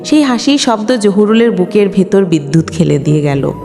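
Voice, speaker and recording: A woman's voice: medium pitch, animated, normal speed, creaky, dark tone. A woman reading a scripted emotional story as a storyteller, in a dramatic, sentimental delivery. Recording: long hall reverb, music bed. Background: background music.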